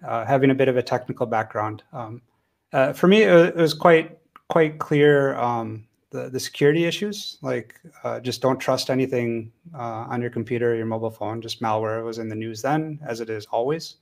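A man, speaking as a panelist over a video call from a room at home, relaxed and chatty with plenty of fillers. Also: medium pitch, vocal fry. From United States. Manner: relaxed, chatty, laughs easily, many fillers. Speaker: panelist, hardware wallet maker. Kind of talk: casual panel discussion.

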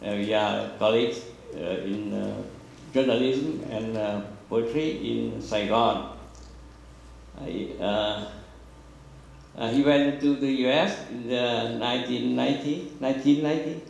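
An older man with a gravelly, slow voice, speaking English as a second language after Vietnamese. He halts and restarts often while introducing a reading. The sound is heavily echoey, as from a small room picked up from afar. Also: medium pitch, a little mellow, slight background noise. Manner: hesitant, many fillers and restarts. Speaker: event introducer. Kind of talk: halting introduction at a poetry reading. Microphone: handheld mic. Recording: echoey room, heavy reverb.

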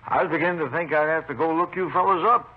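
An actor playing a garrulous, folksy, meticulous retired railroad engineer who carves wood, in a 1950s radio drama. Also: male voice, a little high, fast talker, close to an everyday voice. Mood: chatty, genial. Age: older. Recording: noisy old radio recording, narrow phone-like band.

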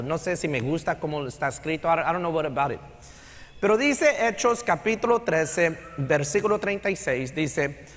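High-voiced Texan pastor preaching in a hall. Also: male voice, fairly bright tone. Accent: Texan Spanish with English code-switching. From Texas. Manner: conversational preaching, switching between Spanish and English. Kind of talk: sermon. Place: large church sanctuary stage. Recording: long hall reverb in sanctuary.

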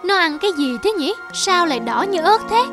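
Very high-pitched naive cartoon donkey. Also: female voice, expressive, a little fast. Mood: naive curiosity. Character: lonely, naive donkey longing to be liked. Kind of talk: cartoon fable voice acting. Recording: music under the voice.

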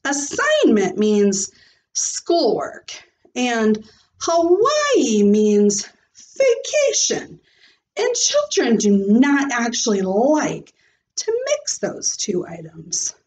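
A woman voicing an excitable, bossy first-grade girl in a storybook, exclamatory and blunt, with childlike grammar. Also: fairly high pitch, very slow, full character voice, poor audio. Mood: skeptical. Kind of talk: expressive storybook read-aloud.

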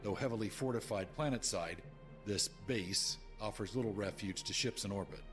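Low-voiced, grave starship captain, acted by a man. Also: fairly bright tone, close to an everyday voice. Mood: formal briefing. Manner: measured, formal. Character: grave, dignified male starship captain in a space game. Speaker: ship's captain. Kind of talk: solemn scripted speech and briefing. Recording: music behind the voice.

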